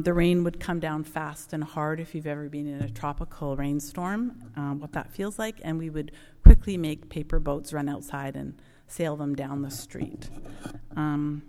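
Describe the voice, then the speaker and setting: A woman's voice: deep voice, dark tone. A middle-aged woman sharing her personal testimony in church, conversational and reflective with frequent ums. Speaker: church member giving testimony. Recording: long church reverb.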